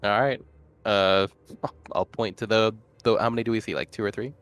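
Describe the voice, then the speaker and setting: A man's voice: lowish voice, fairly even intonation, moderate pace. A man playing a tabletop game, chatting casually and thinking aloud as he plans.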